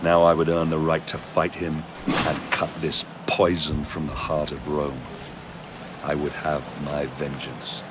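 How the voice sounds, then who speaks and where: Very deep, brooding Roman warrior. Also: male voice, level tone, a little slow, very noisy. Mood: grim resolve. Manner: grave, brooding, resolute. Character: grim vengeful male Roman warrior narrating his quest. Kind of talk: dramatic game narration.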